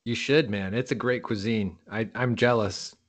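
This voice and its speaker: A laid-back man from the East Coast of the United States hosts a casual interview, his voice sitting a little low. He talks in a relaxed way, tossing in "man" and "dude" as he asks questions. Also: normal speed. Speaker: podcast host.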